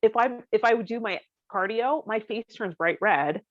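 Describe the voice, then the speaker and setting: A woman's voice: a little high, moderate pace, fairly bright tone, no room sound, lo-fi sound. A woman co-hosting a podcast in casual comedic chat, with a giggly, self-deprecating manner. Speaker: podcast co-host.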